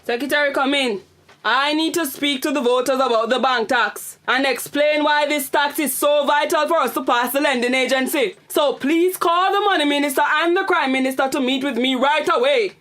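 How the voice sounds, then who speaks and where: A woman's voice: sits high, normal speed, fairly bright tone. A woman playing a stern, burdened prime minister in a radio drama, formal and commanding. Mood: brisk, commanding.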